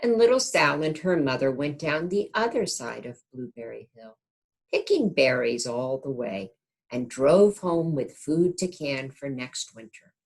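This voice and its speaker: A woman reading a storybook aloud to young children in an expressive teacher's manner, pausing to check in with the listeners. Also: extremely low pitch.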